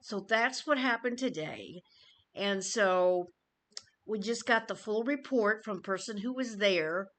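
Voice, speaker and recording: A woman YouTube commentator giving an informal news update to viewers, phrasing things deliberately and emphatically with pauses. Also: mid-range voice, a bit bright.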